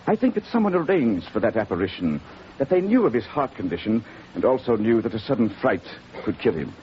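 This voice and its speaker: A dull, faintly hissy vintage radio recording presents an earnest male doctor. He sounds worried and proper, pausing and hesitating as he gives his careful account. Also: on the low side, very animated. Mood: grave, urgent. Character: earnest, worried male country physician seeking help. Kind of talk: 1940s radio drama acting.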